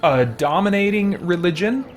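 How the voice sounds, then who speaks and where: A man's voice: high-pitched, expressive. Musing male game streamer, music behind. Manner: musing, halting, thinking aloud. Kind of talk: casual gameplay commentary with chat replies.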